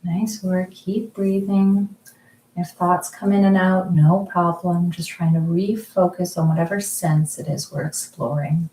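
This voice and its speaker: Calm woman, low and mellow, leading slow guided mindfulness at home, echoey kitchen. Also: a little hiss or hum. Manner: slow, soft, calm guiding with frequent pauses. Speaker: mindfulness group leader. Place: kitchen or dining room at home.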